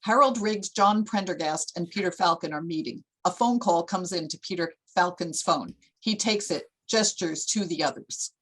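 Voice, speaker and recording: A woman's voice: on the low side, moderate pace, hardly any pauses, fairly bright tone, husky, low-quality recording. A woman reading screenplay stage directions as the narrator of a table read.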